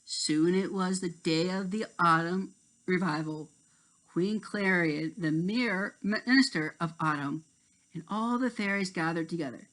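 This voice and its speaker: A woman narrating a scripted children's story deliberately, with dramatic pauses. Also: fairly low pitch, a bit bright, low-quality recording. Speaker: storybook reader.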